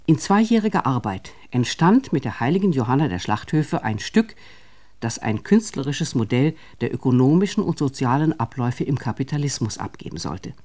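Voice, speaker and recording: A male narrator reads a scripted radio documentary. His voice is mellow and relaxed, sitting at an average pitch. The delivery is formal and measured.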